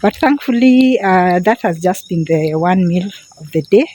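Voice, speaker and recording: A woman's voice: fairly low pitch, expressive, a little slow, dark tone. A middle-aged Kenyan woman with a Kenyan accent, chatting casually to her phone camera as she walks outdoors, often repeating words for emphasis. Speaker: vlogger. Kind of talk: casual vlog to camera while walking. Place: outdoors, walking in a quiet semi-rural area. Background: birds and crickets.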